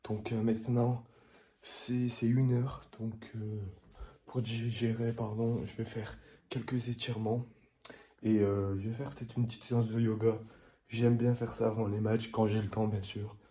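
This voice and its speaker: A man's voice: lowish voice, normal speed. A young man, a vlogger and player, chatting casually and talking straight to his viewers. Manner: relaxed, talks straight to viewers. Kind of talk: casual vlog chat.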